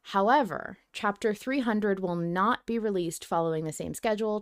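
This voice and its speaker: A woman hosting a podcast in casual, informal chat, with an excitable, sweary streak. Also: on the low side, no echo, clean studio sound. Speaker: podcast host. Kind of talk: casual podcast chat, reading announcements aloud.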